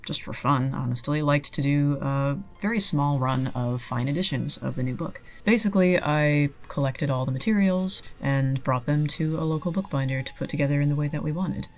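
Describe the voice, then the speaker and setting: Casting: explanatory speaker, medium pitch, with music underneath. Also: normal speed, few pauses. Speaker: book maker. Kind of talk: explanatory talk.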